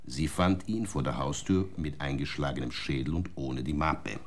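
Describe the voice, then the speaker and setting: An actor playing a stern police inspector in a radio drama, talking in curt, commanding questions and warnings. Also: male voice, rumbling low, normal speed. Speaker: police interrogator.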